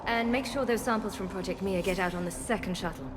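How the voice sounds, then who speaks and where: A woman's voice: medium pitch, fast talker, music in the background, close to an everyday voice. A woman playing a dedicated, protective scientist in a sci-fi video game, earnest and composed under pressure. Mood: composed, urgent. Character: dedicated female scientist leading frightened refugees, protective. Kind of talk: cinematic video game dialogue.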